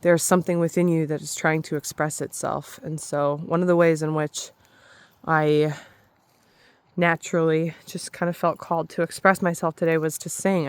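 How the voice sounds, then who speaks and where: Woman, low voice, slow and halting, slightly raspy, dry close sound, outdoors in a forest. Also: dry recording. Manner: soft, slow, hesitant, with many restarts. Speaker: spiritual vlogger. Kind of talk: reflective spoken vlog.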